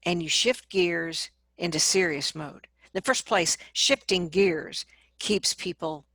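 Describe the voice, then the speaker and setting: A woman speaking as a vocal coach in an interview, explaining advice conversationally and acting out examples in an animated manner. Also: on the low side, takes it slowly, dry recording.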